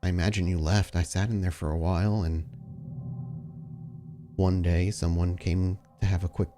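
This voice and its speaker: A man's voice: deep voice, little pitch movement, moderate pace, lightly acted. A man voicing a guarded young female vampire in a tabletop roleplay game. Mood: subdued. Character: guarded young female vampire, punkish, wary but soft.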